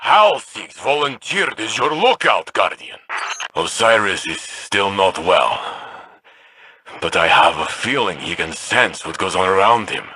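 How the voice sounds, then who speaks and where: Deep, husky warrior comrade. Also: male voice, very animated, unhurried, sharp tone, no room sound, full character voice. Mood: solemn, tender. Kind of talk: video game character voice lines.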